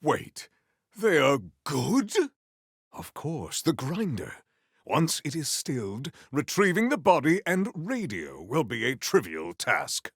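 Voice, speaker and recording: An actor playing a solemn, valiant warrior hero in a video game, speaking in formal, archaic, grandiose phrases. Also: male voice, a little high, huge pitch swings, takes it slowly, husky, no echo, studio quality, full character voice. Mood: stoic, formal. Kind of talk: theatrical video game character lines.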